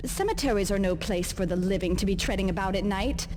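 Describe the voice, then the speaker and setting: An actress playing a timid, superstitious zealot who talks in stiff, archaic, pious phrasing. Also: female voice, mid-range voice, fast, background noise, lightly acted. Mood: nervous, reluctant. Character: timid, superstitious female zealot in a fantasy game.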